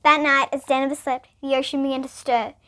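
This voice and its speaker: A female reader, reading a children's picture book aloud in an expressive, emphatic way. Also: high voice, fairly quick, slight background noise.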